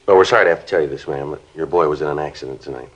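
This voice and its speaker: Terse American police detective, man, fast clipped deadpan speech, dull old radio recording, crime drama. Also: rumbling low. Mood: solemn, restrained. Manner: flat, deadpan, businesslike. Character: terse, matter-of-fact Los Angeles police sergeant.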